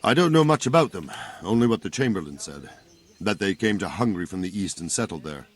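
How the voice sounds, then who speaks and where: A low male voice as a seasoned soldier in a medieval game drama. He is plain-spoken and grave, and explains matters patiently, as if to a younger man. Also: expressive, brisk, breathy edge. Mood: grave, instructive. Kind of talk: video game cutscene acting.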